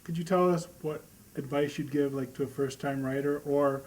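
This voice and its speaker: A man's voice: a little high, steady tone, normal speed, rough recording. A man interviewing a guest, putting his questions in a conversational style.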